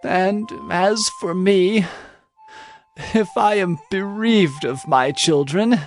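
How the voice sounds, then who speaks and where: A man playing a slow, mournful old father. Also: high-pitched, faint music behind. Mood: resigned. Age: older.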